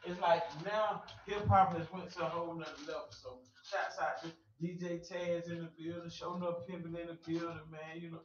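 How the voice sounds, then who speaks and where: High-voiced man hosting, roomy lo-fi. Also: level tone, a little fast, hardly any pauses, a little hiss or hum. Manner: says "amen" often, preachy asides. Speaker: radio show host. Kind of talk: casual talk radio chat. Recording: roomy, distant, dull lo-fi sound.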